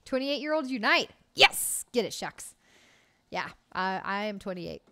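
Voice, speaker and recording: A woman's voice: mid-range voice, big pitch swings, measured pace, pushed and bright. A woman in her late twenties streaming from home, chatting casually with her viewers in a loose, cheerful way and swearing freely. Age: late 20s. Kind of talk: casual chat with live chat. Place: home room, indoors. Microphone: desk mic on arm, headset.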